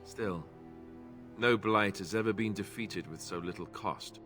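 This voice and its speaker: A wry, bright-voiced young warrior. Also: male voice, on the low side, a little slow, soft background music. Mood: earnest, wry. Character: wry, candid young human Grey Warden warrior. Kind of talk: video game voice acting.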